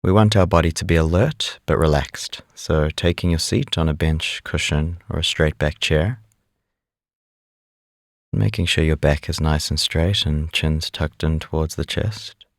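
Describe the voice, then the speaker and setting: A man leading a guided meditation as an instructor, speaking in a calm, unhurried, instructive way. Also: a bass voice, dry recording, studio quality.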